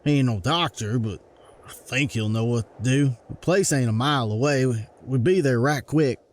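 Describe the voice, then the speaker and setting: A helpful Southern farmhand voiced by a man in a comic-book drama. He talks in a halting, folksy country manner at an ordinary male pitch. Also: slight background noise, natural voice. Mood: nervous, helpful. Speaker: voice actor in a motion comic. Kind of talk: dramatized motion comic dialogue.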